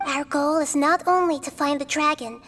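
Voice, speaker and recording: A woman voicing a small, childlike, wise and gentle nature goddess in a video game, earnest and formal in manner. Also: high-pitched, fairly even intonation, normal speed, faint music behind. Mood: earnest, diplomatic.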